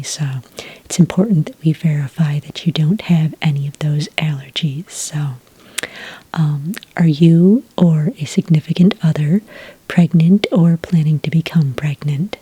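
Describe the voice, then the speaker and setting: A woman doing a soft-spoken ASMR roleplay as a friendly registration clerk, whispery and breathy, explaining things gently and without hurry. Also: low-pitched, dry recording, low-quality recording. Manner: gentle, unhurried customer-service explaining. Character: friendly female registration clerk for werewolf hunters. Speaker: ASMR roleplayer as a licensing associate.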